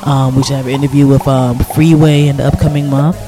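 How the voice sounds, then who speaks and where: An American man hosting a radio show, talking casually with informal slang and shout-outs. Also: mid-range voice, little pitch movement, a little fast. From United States. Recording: loud music under the voice. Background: music.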